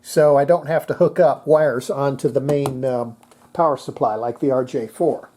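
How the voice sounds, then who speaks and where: A presenter giving a casual hands-on technical demonstration, thinking aloud in a halting way. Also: medium pitch, fairly even intonation, mellow, slight background noise. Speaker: presenter demonstrating antique radios.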